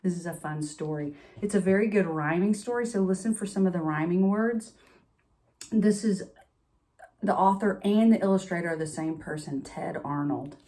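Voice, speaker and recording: A woman's voice: lowish voice, normal speed, mellow. A woman storyteller reading a children's picture book aloud at bedtime, expressively, with chatty asides.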